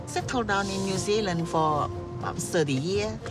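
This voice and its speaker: A woman's voice: mid-range voice, animated, over loud music. Cambodian-accented woman chatting in a car. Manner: halting, non-native English phrasing. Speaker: taxi driver, guest. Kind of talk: casual chat. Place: inside a car.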